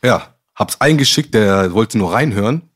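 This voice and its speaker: Slangy man from Gambia, low voice. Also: animated, normal speed, breathy edge. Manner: slangy, rambling storytelling with fillers. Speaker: podcast guest. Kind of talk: casual chat.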